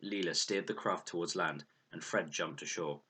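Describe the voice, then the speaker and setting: Man, audiobook narrator, very low pitch, raspy, forceful, expressive scripted storytelling. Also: normal speed. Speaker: audiobook reader.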